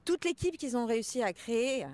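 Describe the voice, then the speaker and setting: A woman's voice: on the high side, animated, fairly quick, darkish tone. A woman in her thirties speaking casually as an actress being interviewed outdoors. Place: outdoors in front of a large mansion. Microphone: small mic held out by interviewer.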